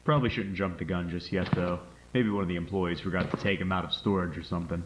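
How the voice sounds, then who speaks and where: A man playing a calm, methodical paranormal investigator, speaking his case notes in a measured, matter-of-fact way with hesitant pauses. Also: low voice, noisy background, lightly acted. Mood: focused, uneasy. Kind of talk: found-footage horror monologue, spoken as case notes.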